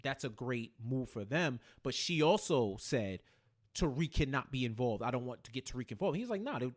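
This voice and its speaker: A man co-hosting a casual recap chat, speaking in a halting way and repeating phrases as he thinks aloud. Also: mid-range voice, big pitch swings. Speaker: podcast co-host.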